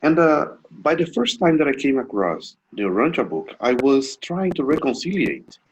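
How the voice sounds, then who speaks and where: A Brazilian man, a native Portuguese speaker, asking a question on a video call, halting with many fillers and restarts. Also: medium pitch, very animated, lo-fi sound. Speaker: webinar attendee asking a question.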